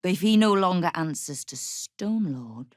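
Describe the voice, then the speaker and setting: A woman's voice: fairly low pitch, lively intonation, normal speed, no echo, lightly acted. An actress playing a shrewd, hard-edged female crime guild boss in a video game, with a dry, wry manner and veiled threats. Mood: sardonic. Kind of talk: scripted video game voice acting.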